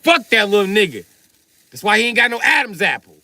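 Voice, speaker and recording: Middle-aged man, profane, rowdy rant, boastful, bright edgy voice, fairly high pitch. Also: very animated, fairly quick, creaky, dry recording. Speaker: livestreamer. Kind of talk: comedic livestream rant.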